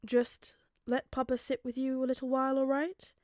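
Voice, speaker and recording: Devoted, grieving father for a story reading, performed by a woman: tender, affectionate, soft-spoken, dry recording. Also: high voice, monotone, normal speed, no echo, voice pushed up, natural voice. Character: tender grieving father, a former villain devoted to his son. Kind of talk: audiobook character acting.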